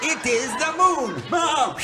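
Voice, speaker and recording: A man's voice: very high-pitched, big pitch swings, takes it slowly, over loud music, over a noisy crowd, exaggerated voice. A man playing an old cowboy sidekick who recites Shakespeare in an overblown, declamatory way with a rural Western American accent, in a comic radio sketch. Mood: bombastic. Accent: rural American West. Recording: noisy, long hall reverb.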